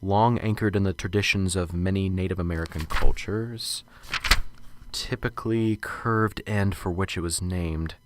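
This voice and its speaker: A man's voice: deep voice, fairly even intonation, unhurried, a little hiss or hum. A man playing a nervous, unsure professor. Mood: musing. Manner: hesitant, stammering, muttering to himself. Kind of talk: amateur radio drama. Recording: music behind the voice.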